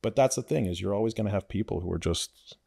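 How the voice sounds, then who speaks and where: A man's voice: lowish voice, fast, vocal fry, mellow, slightly rough. A man born in Ukraine, a guest on a podcast, talking bluntly and emphatically in casual chat. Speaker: guest, influencer.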